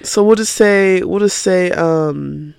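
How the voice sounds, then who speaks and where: A woman's voice: fairly low pitch, takes it slowly, creaky, a little mellow, smooth, dry recording. An eighteen-year-old woman from Atlanta hosting her own podcast in casual, rambling chat, sounding stuffy from a cold. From Atlanta, Georgia. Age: teens. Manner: chatty, rambling, laughs easily. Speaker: podcast host.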